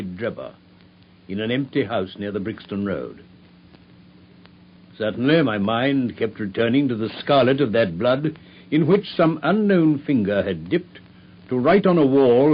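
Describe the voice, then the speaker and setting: An actor narrating as a thoughtful doctor recalling events, in an old radio drama. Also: male voice, medium pitch, highs cut off. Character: thoughtful male doctor narrating his memoir.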